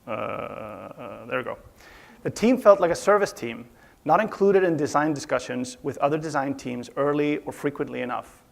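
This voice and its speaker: A man from Iceland giving a measured, slightly formal conference talk about leading a design team. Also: medium pitch, gravelly. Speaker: conference speaker, studio UI/UX director. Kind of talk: presentation talk. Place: conference stage.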